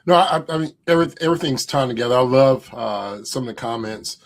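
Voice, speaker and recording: A man co-hosting a live online summit, eager and halting, often restarting his sentences. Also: mid-range voice, animated, vocal fry. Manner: enthusiastic, halting, with many restarts. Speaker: event co-host.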